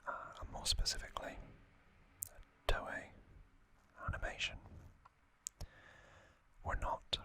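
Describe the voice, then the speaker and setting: An ASMR host narrating in a breathy, unvoiced whisper, hushed and deliberate, with frequent pauses. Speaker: host of an ASMR trivia channel.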